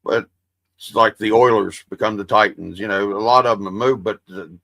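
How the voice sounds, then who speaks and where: A man's voice: lowish voice, normal speed, vocal fry, fairly bright tone, no echo. A man hosting a livestream, chatting casually with his viewers in a rambling, profane way. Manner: self-described soothing voice. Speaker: livestream host. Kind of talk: casual stream chat.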